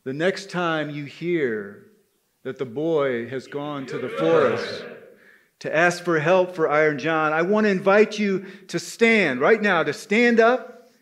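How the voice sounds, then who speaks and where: A man telling a story to a live gathering in a deliberate, dramatic way, with pauses, as the leader of the group. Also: fairly high pitch, a bit bright. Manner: deliberate, pausing, addresses listeners as brothers. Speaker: storyteller leading a men's spirituality gathering.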